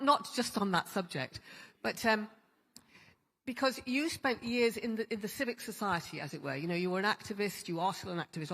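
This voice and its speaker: A woman moderating a conference panel, chatty and halting with many restarts. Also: mid-range voice, a bit bright. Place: large conference hall. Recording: long hall reverb.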